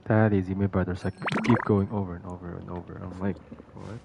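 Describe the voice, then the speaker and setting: Gravelly man streaming, dull noisy mic. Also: sits low, flat delivery, fairly quick. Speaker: game streamer. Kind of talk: casual live gameplay chat.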